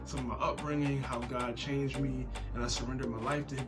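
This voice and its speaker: A man's voice: medium pitch, level tone, a little fast, over music. An American man hosting a podcast, talking in an upbeat, informal way with casual banter. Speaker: podcast host. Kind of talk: casual show introduction and banter.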